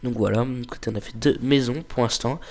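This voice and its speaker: A man's voice: mid-range voice, fairly quick, slight background noise. Stuffy-nosed man narrating a mobile game. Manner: hesitant, many fillers, reads game dialogue aloud. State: stuffy nose from a cold. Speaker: let's play host. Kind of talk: casual gameplay commentary.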